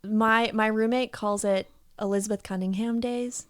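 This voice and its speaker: Bubbly woman hosting a podcast, smooth voice. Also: fairly high pitch, steady tone, moderate pace. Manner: bubbly, laughs often, self-teasing. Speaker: podcast host. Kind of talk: casual podcast chat.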